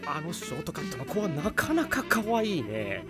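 An actress playing a lecherous, smug, theatrical peeping tom in an anime audio drama, suave and melodramatic. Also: female voice, mid-range voice, wildly swooping pitch, normal speed, music in the background. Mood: leering, smug.

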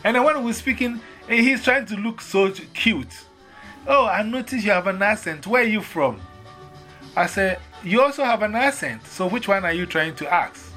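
A man's voice: high voice, expressive, normal speed, pushed and bright, soft background music. A man from Ghana with a Ghanaian accent, telling stories in casual chat and acting out both sides of the conversations.

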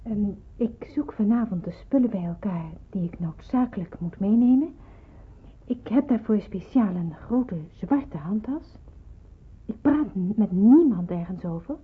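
An actress playing an anxious young nurse in a wartime radio play, hesitant and murmuring to herself. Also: female voice, mid-range voice, expressive, unhurried, breathy edge. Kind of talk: Dutch radio play acting. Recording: noisy narrow-band old radio play recording.

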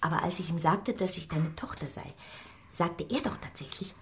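An actress playing a cheerful young adult daughter in a radio drama, chatting in a lively, girlish, gossipy way. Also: lowish voice, moderate pace, a little hiss or hum, natural voice. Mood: teasing. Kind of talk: German radio drama acting.

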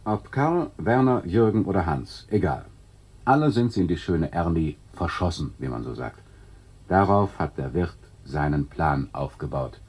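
An actor playing a dry, didactic announcer who explains a satirical radio play in deadpan scripted narration. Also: male voice, sits low, moderate pace, husky, heavily acted. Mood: dry, explanatory. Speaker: narrator and presenter.

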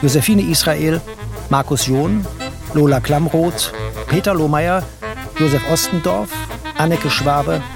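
A man's voice: a little high, unhurried, gravelly, pauses often. A man announcing radio drama credits in a formal, clipped listing style, with swing music behind him.

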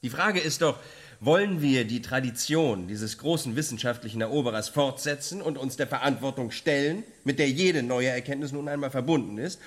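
An actor playing an ambitious, pompous xenobiologist in a German radio drama, with a grandiose, self-important way of speaking. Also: male voice, mid-range voice, moderate pace, close to an everyday voice.

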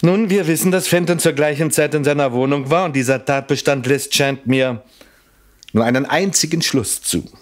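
An actor playing a shrewd, persistent police inspector in a German radio crime drama, speaking formally and deliberately as he probes. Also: on the high side, natural voice. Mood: pointed, accusing. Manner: probing, formal, pointedly deliberate.

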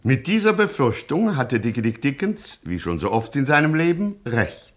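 Lively male radio-play narrator. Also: mid-range voice, normal speed. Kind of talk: scripted narration, wry crime satire.

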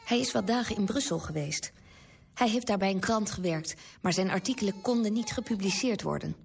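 Weary wife, creaky husky voice. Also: female voice, mid-range voice, brisk. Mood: weary, subdued. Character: weary, tearful, modest wife of a poor writer. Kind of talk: radio drama acting.

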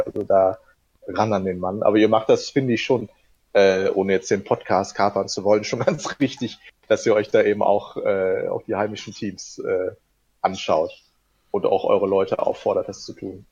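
A man's voice: lowish voice, fairly even intonation, moderate pace, darkish tone, slightly rough, lo-fi sound. A man guesting on a casual podcast, talking eagerly and rambling with many fillers. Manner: enthusiastic, rambling, laughs into sentences. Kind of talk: casual chat.

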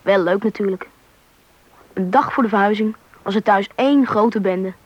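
An actor with a woman's register playing an eager, curious schoolboy in a radio play, chatty and husky. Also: mid-range voice, normal speed, lightly acted, poor audio. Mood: reflective narrating. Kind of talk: radio play acting with first-person narration.

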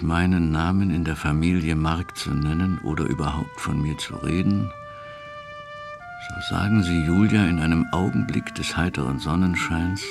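An actor playing a loyal, dutiful middle-aged official in a German radio play, speaking in a measured, reserved, deliberate way to an old friend. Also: male voice, extremely low pitch, vocal fry, heavily acted.

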